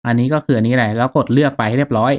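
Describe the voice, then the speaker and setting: A man hosting a software tutorial in an informal, polite, step-by-step way, checking in with listeners. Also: medium pitch, steady tone, rapid-fire. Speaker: YouTube tutorial host, gaming channel streamer.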